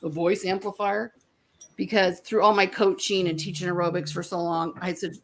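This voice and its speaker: An older woman, a grandmother, co-hosting a casual chat and telling animated stories with frequent laughter. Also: fairly low pitch, moderate pace, a little hiss or hum. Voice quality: voice worn from years of teaching. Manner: animated storyteller, laughs often. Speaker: co-host. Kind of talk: casual chat on a streamed art show.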